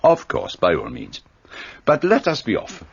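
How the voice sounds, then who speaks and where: A man's voice: mid-range voice, wildly swooping pitch, unhurried, breathy edge, no echo, muffled recording, full character voice. An actor playing a fussy, precise older male detective, formal and self-assured in a radio play. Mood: courteous.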